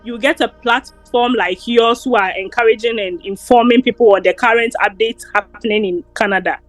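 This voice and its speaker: A woman's voice: a little high, steady tone, moderate pace, dry recording. Earnest Ghanaian woman, dry sound. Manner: earnest, repeats words, encouraging. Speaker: interview guest. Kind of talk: casual interview.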